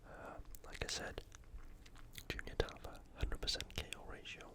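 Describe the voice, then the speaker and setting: An ASMR host speaking in a breathy, unvoiced whisper, giving an informal and slightly hesitant analytical sports preview. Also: moderate pace.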